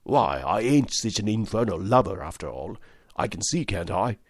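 Man voicing a surly old pirate, very low voice, gruff sailor talk, sly, dry close sound. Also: sing-song, normal speed, dry recording. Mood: resigned. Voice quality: rough sailor's dialect, gruff.

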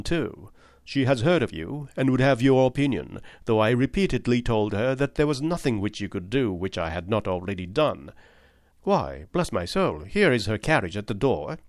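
A man's voice: medium pitch, no echo, clean studio sound, close to an everyday voice. A man reading aloud, voicing a sly, self-assured police inspector who speaks with indulgent confidence.

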